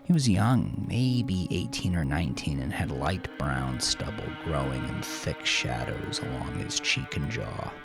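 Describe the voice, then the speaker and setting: A man's voice: very deep voice, lively intonation, unhurried, vocal fry, hardly any pauses, music in the background. A man narrating crime fiction as a dramatic scripted audiobook.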